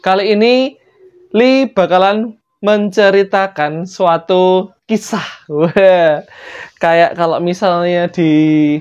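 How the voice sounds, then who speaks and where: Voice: mid-range voice, slow, darkish tone, clean tone. Chatty Javanese-accented Indonesian storyteller, dull recording. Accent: Javanese-influenced Indonesian. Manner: playful, joking, chatty asides. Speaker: storyteller, channel host. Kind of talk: casual improvised storytelling.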